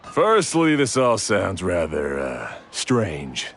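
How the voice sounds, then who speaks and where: A man voicing a proud, thoughtful blacksmith in a video game, measured and particular about his craft. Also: low-pitched, wildly swooping pitch, fairly bright tone, exaggerated voice. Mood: skeptical. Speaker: blacksmith character. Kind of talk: scripted video game voice acting. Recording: background music over the voice.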